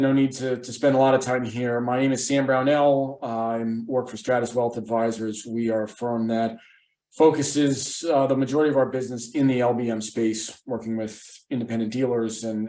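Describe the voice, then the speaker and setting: A man's voice: mid-range voice, level tone, normal speed, darkish tone. Male presenter, casual and conversational, echoey room sound. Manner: conversational, with frequent restarts. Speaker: financial advisor presenter.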